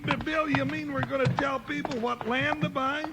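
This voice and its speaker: Very high, creaky cowboy sidekick. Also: male voice, normal speed, over loud music. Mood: amused, teasing. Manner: folksy, excitable, teasing. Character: big jovial, comic cowboy deputy sidekick. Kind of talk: 1950s radio drama acting. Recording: noisy old radio transcription, clicks.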